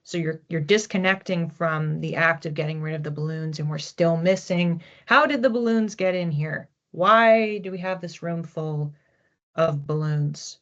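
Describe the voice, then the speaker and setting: Low-voiced woman musing through a reading. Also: unhurried, fairly bright tone. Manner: hesitant, repeats words while searching for images. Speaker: psychic reader. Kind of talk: intuitive reading, musing aloud. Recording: dull lo-fi recording.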